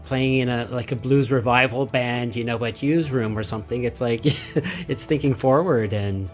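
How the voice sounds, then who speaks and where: A man's voice: mid-range voice, normal speed, soft background music. A man co-hosting an interview, chatting casually and often chiming in with agreement. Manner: frequent eager affirmations. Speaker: co-host.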